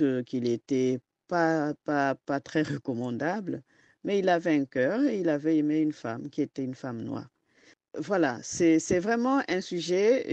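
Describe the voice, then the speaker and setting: A woman whose first language is not French speaks slowly and haltingly, often restarting as she searches for words. Her voice is dry and close, with a somewhat dull, lo-fi sound. She is an author and storyteller giving an interview. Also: low voice, mellow, no room sound. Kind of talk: interview guest answering questions.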